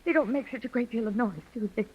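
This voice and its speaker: An actress playing an unhinged, hysterical woman in a 1940s radio mystery, halting and repeating herself breathlessly. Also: fairly high pitch, breathy edge. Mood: eerie, dazed. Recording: dull, lo-fi vintage radio recording.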